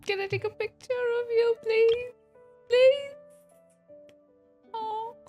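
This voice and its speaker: A woman's voice: very high voice, slow, dull audio. A young woman chatting casually on a livestream as she reacts to a game, gushing and emotional in an informal way. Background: faint game music.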